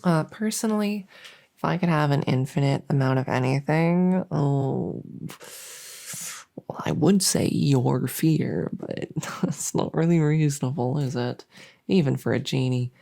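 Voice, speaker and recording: A woman playing a friendly, silly monster under the bed in an ASMR roleplay, rambling playfully and softly. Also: low voice, unhurried, gravelly. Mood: chatty, playful. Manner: rambling, playful, soft-spoken.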